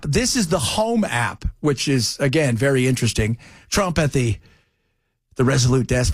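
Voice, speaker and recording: A man's voice: on the high side, no room sound, clean studio sound. An American man hosting talk radio, giving opinionated political commentary in a conversational way with rhetorical questions and mocking asides.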